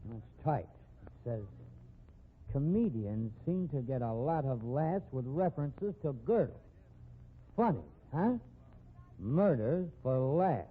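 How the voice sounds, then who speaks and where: An actor playing a brisk, determined amateur sleuth in a 1950s radio drama, with a clipped manner. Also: male voice, mid-range voice, lively intonation, phone-quality sound. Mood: grim. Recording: band-limited old radio recording, faint noise.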